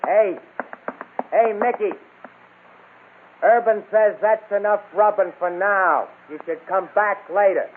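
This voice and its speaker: An actor playing a rough gang henchman who talks in loud slang in an old-time radio crime drama. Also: sits high, takes it slowly, long pauses. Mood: brusque. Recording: crackly, narrow old radio broadcast.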